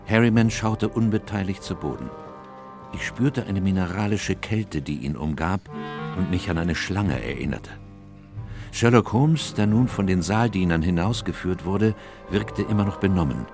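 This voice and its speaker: A gentleman narrator, the watchful, loyal friend of a detective. His voice sits low and moves in a measured, grave storytelling manner. Music runs clearly behind this radio drama narration. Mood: grave, reflective. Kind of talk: scripted first-person radio drama narration.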